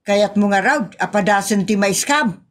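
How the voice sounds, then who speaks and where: A woman's voice: medium pitch, normal speed, close to an everyday voice. A woman with an Ilocano accent playing a thrifty, wary married village woman in a comedy audio drama, plain-spoken and questioning. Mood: suspicious.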